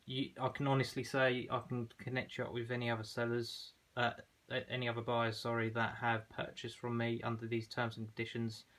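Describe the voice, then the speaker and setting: A man's voice: medium pitch, monotone, fairly quick, few pauses, a bit bright. A man giving an informal product demonstration as an online seller, explaining in a conversational, slightly hesitant way.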